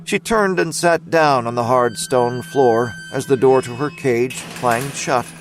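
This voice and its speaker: A man narrating scripted dramatic fiction in a measured storytelling manner. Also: medium pitch, wildly swooping pitch, a little hiss or hum.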